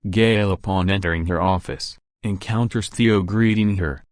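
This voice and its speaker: Male narrator, low pitch, dry studio-like sound, scripted storytelling. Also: fairly even intonation, normal speed, a little mellow, dry recording. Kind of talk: scripted visual novel narration.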